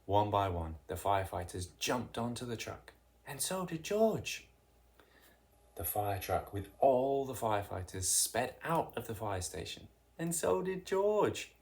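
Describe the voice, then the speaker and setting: Storybook narrator reading to kids, real slow n deliberate, expressive w dramatic emphasis. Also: mid-range voice. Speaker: picture book reader. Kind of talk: read-aloud children's storytelling.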